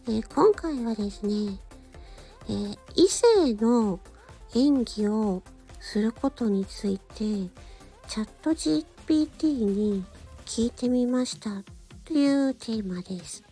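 A woman hosting a solo radio-style talk, speaking politely and gently in short, halting phrases. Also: on the high side, expressive, breathy edge, faint music behind. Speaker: radio show host and amateur voice actor.

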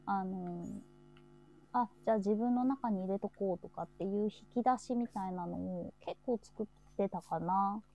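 A woman with a mellow, relaxed voice hosts an informal chat. She talks casually and chattily, often echoing agreement as she goes. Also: medium pitch, normal speed, muffled recording. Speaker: host of a live chat show.

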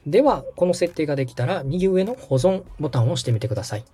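A man's voice: fairly high pitch, big pitch swings, quick pace, warm tone, slightly rough, soft background music. A man hosting a podcast, politely and methodically walking someone through a setup step by step. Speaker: podcast host and radio director giving step-by-step guidance. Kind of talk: instructional walkthrough in dialogue.

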